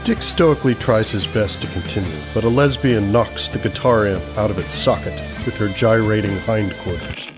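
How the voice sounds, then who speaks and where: A man's voice: lowish voice, moderate pace, few pauses, over music. A man narrating a scripted comedy story in the present tense.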